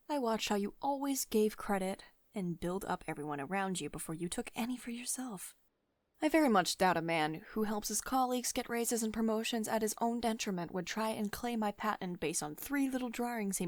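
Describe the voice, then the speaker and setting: A woman's voice: medium pitch, dry recording, lightly acted. A woman playing a commanding, protective tech CEO who is secretly devoted and tender, in an intimate ASMR roleplay monologue.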